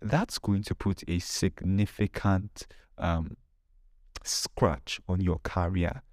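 A man's voice: sits low, steady tone, slow, a little mellow, no echo, studio quality. A man hosting a podcast in an informal advice monologue, speaking emphatically with repeated phrases and rhetorical questions. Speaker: podcast host.

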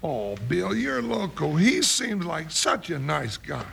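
An actor playing a folksy, excitable, good-natured western sidekick with a gravelly drawl, in an old-time radio drama. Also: male voice, a little high, slow, a little hiss or hum, exaggerated voice. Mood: puzzled, protesting. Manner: drawling, folksy, comically blustery.

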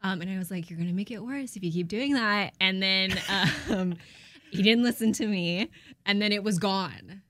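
A young woman, the host's wife, chatting casually and relaxed on his stream. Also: medium pitch, moderate pace, dry recording, clean studio sound. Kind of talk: casual chat.

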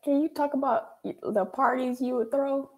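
A woman with a somewhat higher voice hosts a relaxed video interview. She chats in an informal, slangy way and laughs readily between her questions. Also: moderate pace. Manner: chatty, casual slang, laughs easily. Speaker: host, animator. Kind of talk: casual interview hosting.

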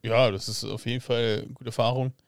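A man's voice: mid-range voice, level tone, a little fast. A man co-hosting a podcast, chatting casually and cracking jokes. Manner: joking, chatty interjections. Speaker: podcast co-host. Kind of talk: casual conversation.

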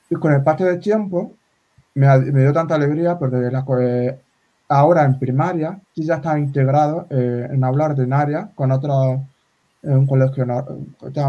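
A man in his forties whose first language is sign language, talking in a casual interview with many halting restarts. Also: medium pitch. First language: Spanish Sign Language. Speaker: guest. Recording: slightly dull lo-fi sound.

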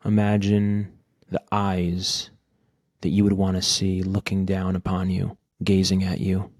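A man leading a guided meditation in a gentle, unhurried manner, speaking in short phrases with long pauses. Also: low-pitched, completely flat delivery, no echo, clean studio sound. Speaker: podcast host guiding a meditation.

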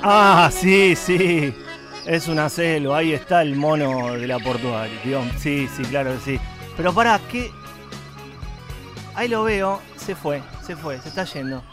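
A man's voice: on the high side, a little slow, lightly acted. A man with a Rioplatense Argentine accent playing a flustered, complaining radio host in a comic radio play, exclamatory and rambling. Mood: anxious. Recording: music behind.

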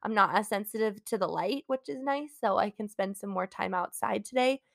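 A woman's voice: mid-range voice, brisk, vocal fry, dry recording, clean studio sound. A young adult woman hosting a casual solo podcast, sounding congested from a cold and talking in a rambling, candid, encouraging way. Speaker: podcast host.

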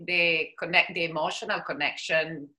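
Middle-aged woman, bright tense tone, measured hesitant answers. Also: sits low, fairly even intonation, low-quality recording. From Italy. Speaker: business owner. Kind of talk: interview guest.

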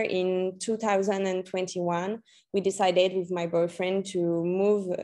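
Slow-speaking young Frenchwoman with a French accent. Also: mid-range voice, darkish tone. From France and New Caledonia. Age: late 20s. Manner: hesitant, searching for words in a second language. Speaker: podcast guest, freelance journalist and copywriter. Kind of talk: conversational interview answers.

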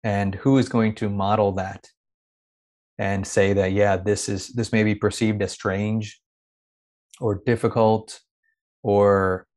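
A man who grew up in India talks in a reflective, searching way. His voice sits a little low, and he often restarts phrases as he gathers his thoughts. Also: level tone, slow, pauses often, darkish tone. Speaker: guest. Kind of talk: reflective conversational interview.

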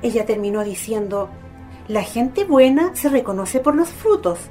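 A woman playing a kindly, gently intrigued mother in a radio drama. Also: a little high, sing-song, a little slow, soft background music. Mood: intrigued, confiding.

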